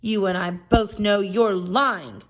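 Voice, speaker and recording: A woman's voice: mid-range voice, steady tone, takes it slowly, natural voice. A woman voicing a cocky, eager young man who thinks himself clever, in a storybook tale. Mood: demanding. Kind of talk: storybook character voice.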